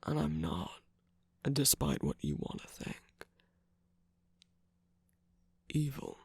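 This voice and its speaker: A performer voicing a smug, seductive villainous cookie radio host in an ASMR romantic roleplay, slow, coaxing and teasing, with a breathy, gravelly voice that often drops to a whisper. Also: low-pitched, sing-song, a little mellow, no room sound, exaggerated voice. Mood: flirtatious teasing. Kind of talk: ASMR romantic roleplay, scripted character acting. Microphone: close microphone, dry.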